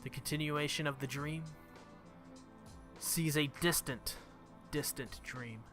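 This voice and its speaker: A man's voice: fairly high pitch, slow, a bit bright. A man who makes gaming videos, reading visual novel text aloud and commenting casually on the game. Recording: music behind the voice. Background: game music.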